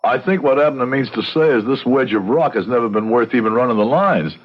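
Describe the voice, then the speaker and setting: An actor playing a genteel, articulate frontier lawyer and judge in a radio drama, formal and courteous. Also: male voice, mid-range voice, animated, a little fast, close to an everyday voice. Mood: concerned. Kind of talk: radio drama acting and narration. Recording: lo-fi radio recording with music behind.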